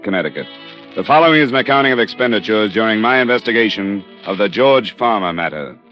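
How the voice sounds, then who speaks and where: An actor playing a wry, hard-boiled insurance investigator in an old radio drama, narrating and talking in a dry, terse, wisecracking way. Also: male voice, lowish voice, natural voice. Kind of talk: 1940s-50s radio detective acting and narration. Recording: dull vintage radio recording with music.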